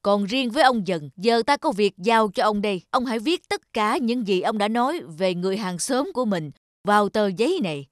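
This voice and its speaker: An actor voicing a stern old-time magistrate in a cartoon folktale, commanding, formal and deliberate. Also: medium pitch, expressive, no room sound, studio quality, lightly acted. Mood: stern, instructing. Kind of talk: Vietnamese cartoon folktale dubbing.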